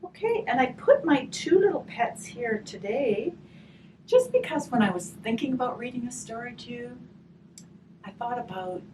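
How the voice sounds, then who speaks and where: A woman speaking softly and reflectively in an unhurried way, as if leading a gentle group session and storytime. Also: a little high, lively intonation. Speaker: hospice volunteer leading a grief session. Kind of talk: gentle spoken session with storybook read-aloud. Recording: distant microphone, clearly noisy room ambience.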